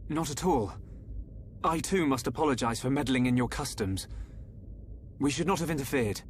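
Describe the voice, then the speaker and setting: A man's voice: medium pitch, lively intonation, normal speed, a little hiss or hum, lightly acted. An actor playing a resolute young male hero in a fantasy video game, speaking politely and earnestly. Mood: apologetic. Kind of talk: dramatic video game voice acting.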